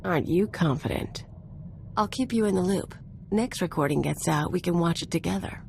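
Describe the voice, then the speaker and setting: An actress playing a sly, teasing mercenary companion in a video game, playful and sardonic. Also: female voice, lowish voice, moderate pace, creaky, a little mellow, slight background noise.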